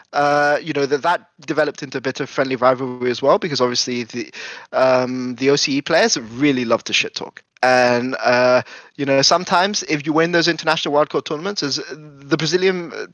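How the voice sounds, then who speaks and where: A man's voice: a little high, fairly bright tone, no room sound. A man being interviewed as an esports coach, reminiscing conversationally and often circling back to correct himself.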